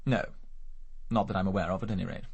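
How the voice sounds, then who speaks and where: An urbane English murder suspect in a radio drama, sardonic and evasive. His low voice is slightly husky, and he rattles along with dry, flippant articulacy. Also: fairly even intonation, fast, darkish tone. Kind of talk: BBC radio drama acting.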